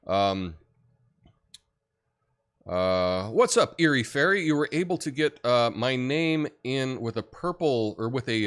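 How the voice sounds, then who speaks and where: A deep-voiced man hosting a livestream, chatting casually and reading viewer comments with lots of fillers. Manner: rambling, relaxed, many fillers and restarts. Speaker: livestream host.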